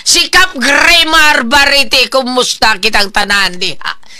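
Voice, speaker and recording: A woman's voice: high voice, a little slow, bright, forceful tone. A woman with a Cebuano accent hosting a radio show, chattily reeling off greetings and sponsor plugs with exclamations. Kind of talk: live greetings and sponsor plugs, casual chat.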